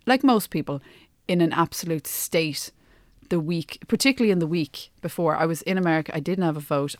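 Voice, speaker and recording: Woman, Irish accent, lower-pitched, podcast co-host, casual opinionated chat, emphatic, repeats words mid-argument, dry close recording. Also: dry recording. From Ireland. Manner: emphatic, halting and repeating words as she argues.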